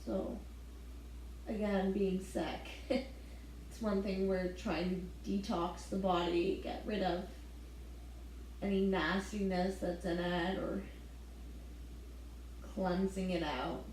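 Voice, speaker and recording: A woman guiding a gentle yoga session at an unhurried, drawn-out pace, calling out breaths and poses one by one. Her voice rings around a very echoey room, with a faint hiss underneath. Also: on the low side, steady tone. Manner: soft step-by-step breathing cues with light laughs. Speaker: yoga instructor. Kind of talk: guided gentle yoga class.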